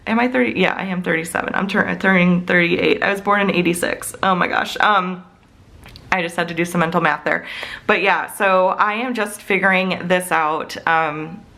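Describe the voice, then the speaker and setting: A woman's voice: lowish voice, steady tone, moderate pace, gravelly, sharp tone. A woman in her late thirties chatting casually about her family budget, rambling with fillers and small laughs, seated at her desk at home. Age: 30s. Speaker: budgeting channel host.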